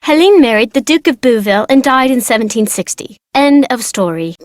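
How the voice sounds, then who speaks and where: A woman voicing a high-pitched, precocious young girl. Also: expressive, brisk, dry recording, studio quality, natural voice. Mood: bored. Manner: girlish, casual slangy talk with playful teasing. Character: chatty precocious young girl, proud of her aristocratic family tree. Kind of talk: video game character dialogue.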